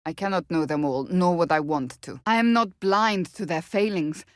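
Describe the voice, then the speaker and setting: A woman's voice: on the low side, normal speed, no echo, studio quality, close to an everyday voice. An actress playing a stern, devout, blunt warrior woman in a video game, speaking formally and earnestly in clipped phrases. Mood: formal, frank. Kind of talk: video game character dialogue.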